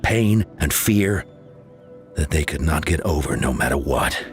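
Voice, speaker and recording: Low voiced caring game chief, grave, lots of rise and fall, soft music behind. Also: male voice, big pitch swings, unhurried, heavily acted. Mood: solemn, sorrowful. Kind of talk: dramatic video game storytelling.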